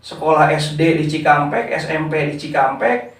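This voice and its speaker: A man's voice: fairly high pitch, creaky, recorded from a distance. Man speaking off-mic in an echoey room. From West Java, Indonesia. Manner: unhurried, with frequent "eh" fillers, addressing the interviewer as "Pak". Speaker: founder of a community organization. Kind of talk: relaxed interview answers.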